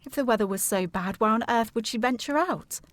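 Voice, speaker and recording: An actress playing a formal, earnest and insistent gentlewoman, anxious about family, in a period audio drama. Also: a little high, brisk, dry recording, natural voice. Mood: distressed disbelief. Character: proper, anxious, insistent gentlewoman seeking her sister.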